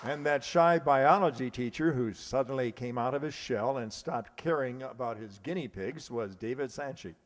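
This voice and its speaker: A man's voice: mid-range voice, moderate pace, hardly any pauses, a crowd in the background. An American man working as a stage announcer, formally presenting a cast with the odd stumble and self-correction. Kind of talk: live curtain-call cast introductions. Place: live stage performance in a hall. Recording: echoey hall, audience noise, clicks.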